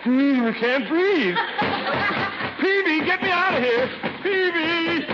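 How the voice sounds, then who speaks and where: A man's voice: very high voice, lively intonation, slow, heavily acted. A pompous, exasperated middle-aged uncle in a 1940s radio comedy, swooping theatrically up and down as he blusters. The sound is a muffled, noisy old broadcast. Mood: panicked, flustered.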